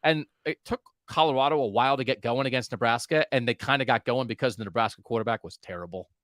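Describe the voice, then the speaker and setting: A man hosting a sports podcast, talking casually in an animated way and asking his co-host questions. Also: mid-range voice, fast talker, no echo, clean studio sound.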